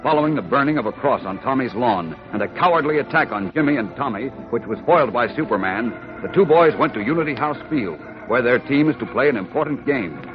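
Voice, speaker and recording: Casting: male serial narrator, mid-pitched, formal and dramatic, vintage muffled broadcast with clear organ music underneath. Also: expressive, fast, few pauses. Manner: declamatory, formal. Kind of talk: dramatic 1940s scripted narration. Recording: muffled 1940s radio recording.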